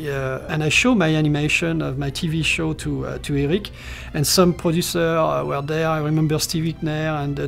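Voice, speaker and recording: A man's voice: on the high side, vocal fry. A middle-aged man from France with a French accent, recalling his career in an interview with many fillers and restarts. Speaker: animation character designer. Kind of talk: talking-head interview, recollections.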